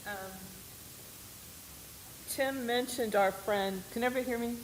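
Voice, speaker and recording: A woman with a loud voice that carries across a church room, talking informally to the congregation about her community volunteering. She often restarts her sentences mid-thought. A faint hiss sits under the recording. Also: on the high side, moderate pace, poor audio. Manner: loud, projecting voice; chatty, with frequent restarts. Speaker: church volunteer sharing a story.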